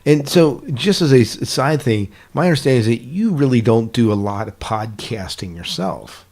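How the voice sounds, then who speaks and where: A man's voice: medium pitch, lively intonation, moderate pace, a little mellow. A man hosting a casual podcast interview, affirming answers, recapping them and posing questions.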